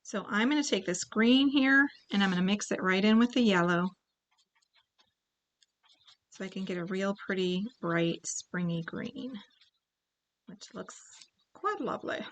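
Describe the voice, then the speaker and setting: A woman leading a relaxed paint-along tutorial, chatting and thinking aloud as she paints, and now and then clearing her throat. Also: mid-range voice, a little slow, pauses often, low-quality recording. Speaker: painting instructor.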